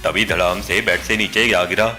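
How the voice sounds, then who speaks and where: A man's voice: deep voice, steady tone, normal speed, bright, forceful tone, soft background music. A man voicing a lovestruck, scheming half-man half-spider in a cartoon, with theatrical delivery. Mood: smitten, coy. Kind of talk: Hindi cartoon story dubbing.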